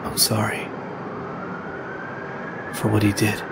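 A man's voice: on the low side, completely flat delivery, slow pace, vocal fry, noisy background. A man playing a brooding, suspicious masked vigilante, terse and guarded, in an audio drama. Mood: subdued. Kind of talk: film-style audio drama acting.

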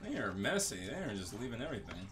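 A man's voice: on the low side, big pitch swings, fast, background noise. A man giving casual video game commentary, muttering offhand remarks as he plays. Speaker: gaming video host.

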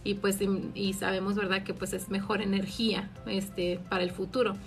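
A woman's voice: medium pitch, flat delivery, normal speed, soft background music. A woman, a tax advisor answering viewer questions on a live stream in a friendly, explanatory way with many filler words, filmed by a phone in a small office. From Mexico. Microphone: phone camera at arm's length.

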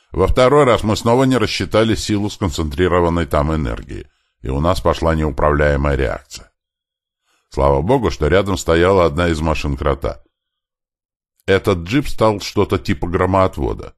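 A man's voice: a bass voice, expressive, normal speed, dry recording, studio quality, voice pushed up. A man voicing an earnest male professor in an audiobook, explaining things politely and with emphasis. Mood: apologetic reporting.

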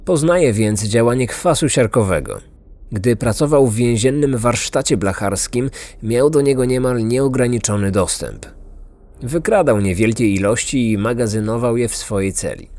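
A man's voice: mid-range voice, expressive, normal speed, a little mellow. A man narrating a scripted true-crime podcast. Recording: music underneath.

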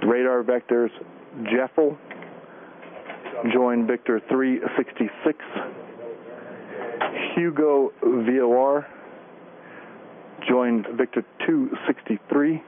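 A man, an air traffic controller reading clearances over aviation radio. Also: medium pitch, fairly even intonation, slow, pauses often, narrow, tinny audio.

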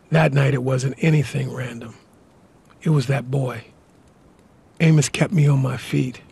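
An actor playing a weary, gritty wounded survivor in a dramatic audio play. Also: male voice, mid-range voice, gravelly, warm tone, slightly rough, full character voice. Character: weary, wounded male survivor fighting and recalling his escape. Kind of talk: audio drama acting and narration.

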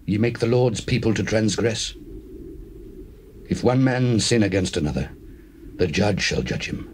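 An actor playing a very old priest and father in a dramatized scripture recording, speaking slowly and solemnly in reproach. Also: lowish voice, darkish tone, close to an everyday voice. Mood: grave reproach. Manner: slow, solemn. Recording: hissy old cassette recording.

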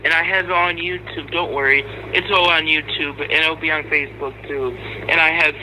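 A man's voice: fairly high pitch, steady tone, a little fast, pushed and bright, slight background noise, poor audio. A man giving an angry, profane rant in an aggrieved, sarcastic manner. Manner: aggrieved, sarcastic, foul-mouthed. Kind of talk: angry, profane rant to camera and recorded audio.

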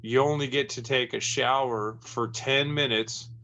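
A man's voice: mid-range voice, fairly even intonation, measured pace, pushed and bright, slight background noise, poor audio. A man in his forties speaking plainly and conversationally over a video call from a dim room. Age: 40s. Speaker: formerly incarcerated interviewee. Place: dark room with red backdrop. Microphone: webcam on a video call.

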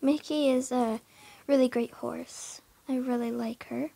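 A woman's voice: high-pitched, fairly even intonation, measured pace, vocal fry, warm tone, breathy edge, dry recording. A young woman casually talking through her horse barn in a game, hesitant, full of fillers and often dropping into a whisper.

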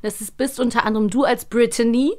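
A woman's voice: fairly high pitch, fairly even intonation, fast talker, a little mellow. Enthusiastic young woman co-host. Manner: enthusiastic, rambling, many fillers. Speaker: podcast co-host. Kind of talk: casual studio interview. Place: studio.

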